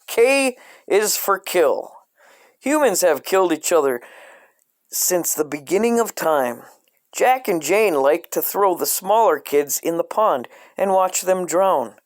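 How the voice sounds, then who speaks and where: A man reading a comedic parody children's book aloud in a deadpan, scripted storyteller style. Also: high-pitched, slow.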